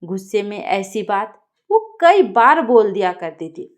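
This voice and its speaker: A woman narrating a scripted story as a storyteller, in an expressive and deliberate manner. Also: medium pitch.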